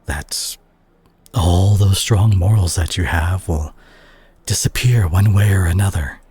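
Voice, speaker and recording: A man acting a husky, low-voiced worried superhero. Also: unhurried, a little mellow, heavily acted. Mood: somber, pained. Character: concerned male superhero confronting his reckless friend and teammate. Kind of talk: dramatic audio roleplay monologue.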